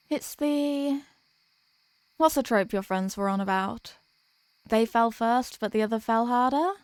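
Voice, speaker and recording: Woman voicing a theatrical high-voiced vampire girlfriend. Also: darkish tone, dry recording. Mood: playful, smitten. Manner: theatrical, pleading, mock-tragic. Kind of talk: scripted roleplay audio monologue.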